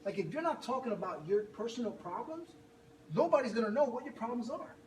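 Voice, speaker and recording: High-voiced energetic male fitness coach. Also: a little fast. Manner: energetic, hypes people up, laughs often. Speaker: fitness coach hosting a group session. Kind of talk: motivational group talk. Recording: roomy, from far off, noisy.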